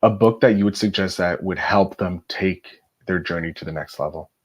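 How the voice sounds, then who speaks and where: A man's voice: sits low, moderate pace, slightly rough. A man hosting a podcast, talking in a casual, conversational interviewing style. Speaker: podcast host.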